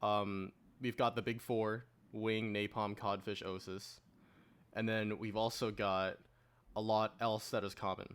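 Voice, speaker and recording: A man's voice: on the low side, little pitch movement, measured pace, fairly bright tone, clean tone. A man casually hosting a podcast panel, organizing the discussion and keeping the group on track. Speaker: podcast host moderating a panel.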